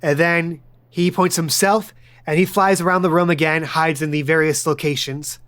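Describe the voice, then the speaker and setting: A man with a fairly high-pitched voice acts as game master. He narrates and hosts in a casual, conversational style suited to a tabletop game podcast. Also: steady tone, normal speed, a bit bright.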